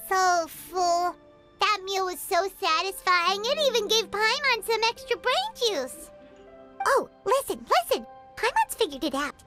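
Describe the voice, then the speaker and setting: A woman's voice: very high-pitched, big pitch swings, slow pace, full character voice. A woman voicing a tiny, chirpy, nosy female fairy companion in a video game, who talks about herself in the third person. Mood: teasing. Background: game music.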